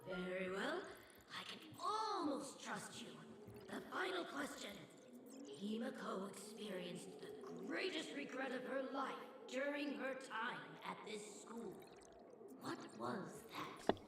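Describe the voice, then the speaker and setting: A woman's voice: on the high side, very animated, far from the mic, background noise, exaggerated voice. An actress voicing a wary, formal female guardian at a school in a game. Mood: guarded. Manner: formal, measured, cautious. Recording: echoey game audio with reverb and clear music.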